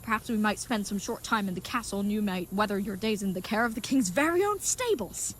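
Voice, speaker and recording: Over clearly noisy ambience comes an earnest, simple-minded knight of an audio comedy , played by a woman. The voice sits at a middling pitch and delivers grand, florid, old-fashioned proclamations with kind-hearted chivalry. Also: expressive, brisk, natural voice. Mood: excited, triumphant. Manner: grandiose, florid, mock-archaic phrasing. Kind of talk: comedic audio drama acting.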